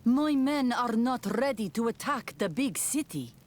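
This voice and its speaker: A woman playing a proud, guarded rebel camp leader in a video game cutscene, speaking deliberately. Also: a little high, big pitch swings, a little mellow. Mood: defensive. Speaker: native camp leader character.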